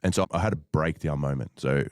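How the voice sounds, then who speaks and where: A man as a podcast guest, telling his story in a measured, thoughtful way with frequent fillers. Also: very deep voice, no echo, studio quality. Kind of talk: reflective conversational storytelling.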